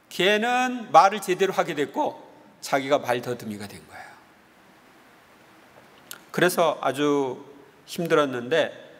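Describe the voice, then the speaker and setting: High-voiced priest preaching in echoing church. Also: male voice. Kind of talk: homily with anecdotes. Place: large church interior. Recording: reverberant church hall with long echo.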